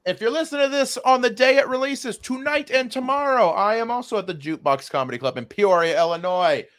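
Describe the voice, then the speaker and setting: A man who works as a comedian, co-hosting a podcast with casual, crude joking and a stammering, sometimes loud delivery. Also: extremely high pitch, brisk, dry recording, clean studio sound. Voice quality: loud, given to yelling. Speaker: stand-up comedian.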